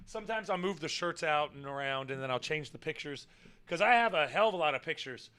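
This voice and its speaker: A man hosting a casual livestream, hoarse from yelling and talking in a rambling, swearing, chatty way with loud bursts of excitement. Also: a little high, a little fast. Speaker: livestream show host. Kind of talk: casual livestream chat with viewers.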